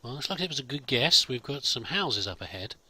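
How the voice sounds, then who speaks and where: A man's voice: medium pitch, big pitch swings, fairly quick, pushed and bright, husky. A man musing aloud as he plays, improvising in-character commentary and talking to his companion as a let's play host.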